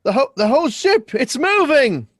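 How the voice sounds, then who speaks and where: A man's voice: extremely high pitch, sing-song, moderate pace. A man playing a curious, naive young man in a radio drama reading, hesitant and full of questions. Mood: panicked. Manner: wide-eyed, hesitant, questioning.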